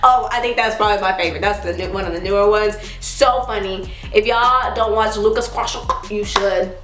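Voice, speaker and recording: A woman in her twenties from Texas, chatting casually as she answers viewer questions, giggling often and sometimes trailing into a soft mumble. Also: mid-range voice, steady tone, brisk. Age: 20s. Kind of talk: casual chat. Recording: roomy echo with faint music.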